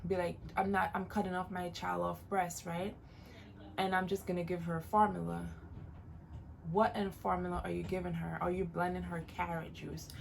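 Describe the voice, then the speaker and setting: A woman's voice: lowish voice, creaky, slight background noise. A woman in her late twenties, originally from Jamaica, giving casual, encouraging advice in a vlog and repeating phrases for emphasis. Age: late 20s. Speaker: vlogger.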